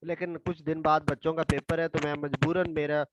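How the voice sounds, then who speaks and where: Hoarse high-voiced male tutor. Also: little pitch movement, lo-fi sound, noisy background. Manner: reads questions out slowly, then explains step by step. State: hoarse from a throat infection. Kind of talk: explaining exam solutions.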